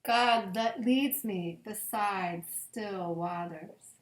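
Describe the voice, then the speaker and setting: A woman pastor reading scripture aloud as a children's bedtime story, in measured, deliberate phrases with long pauses. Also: mid-range voice, slight background noise. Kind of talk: gentle read-aloud of scripture picture books. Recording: echoey room.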